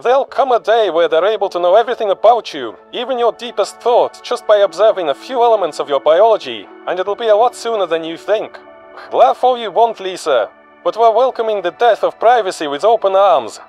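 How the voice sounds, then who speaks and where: Man playing a high-pitched paranoid conspiracy theorist. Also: lively intonation, a little fast, darkish tone, soft background music, poor audio. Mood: smug warning.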